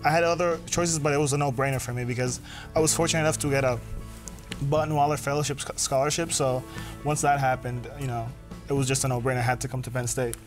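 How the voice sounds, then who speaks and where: A man's voice: medium pitch, fairly even intonation, fast, over music. A young man from Puerto Rico answering hesitantly, often repeating words. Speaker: student. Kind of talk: interview answers.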